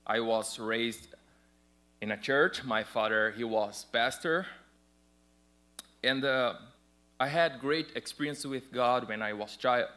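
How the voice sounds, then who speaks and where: Brazilian man in his 30s, bright voice, careful and earnest, testimony at a church pulpit. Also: medium pitch, takes it slowly, pauses often. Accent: Brazilian Portuguese. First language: Portuguese. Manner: earnest and careful, slightly hesitant. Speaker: church member giving testimony. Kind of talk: personal testimony and prayer. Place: church sanctuary stage, at a pulpit.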